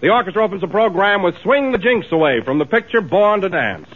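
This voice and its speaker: A man's voice: high-pitched, lively intonation, brisk, narrow, tinny audio. An American man announcing a 1930s radio program and its commercials in a polished, declamatory manner. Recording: thin 1930s radio broadcast over music.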